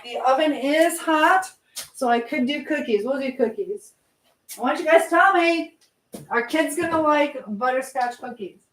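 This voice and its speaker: A woman's voice: high voice, expressive, moderate pace, fairly bright tone, a little hiss or hum, low-quality recording. The chatty American woman you'd hear on a casual evening livestream, talking to her regulars by name from the kitchen counter while she cooks. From United States. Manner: chatty, reading out and answering viewer comments. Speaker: livestream host. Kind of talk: casual live chat with viewers while cooking. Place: home kitchen.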